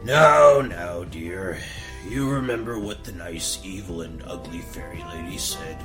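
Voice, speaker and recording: A man's voice: low voice, measured pace, over loud music. A man playing a shallow, hard-headed, blustery king and father in a comic audio fairy tale. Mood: distracted, placating.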